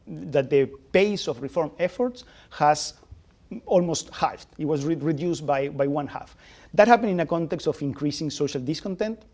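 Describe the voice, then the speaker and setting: Measured man presenting economic research. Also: on the high side, a little mellow. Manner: measured, with small restarts and fillers. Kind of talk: panel presentation.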